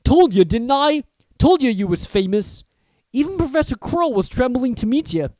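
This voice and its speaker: A reader voicing a huge, kindly groundskeeper with homely, rough-edged speech. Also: high-pitched, big pitch swings, normal speed, darkish tone, no echo, voice pushed up. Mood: cheerful. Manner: homely rustic speech, dropped grammar. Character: huge warm-hearted male groundskeeper, rough-spoken.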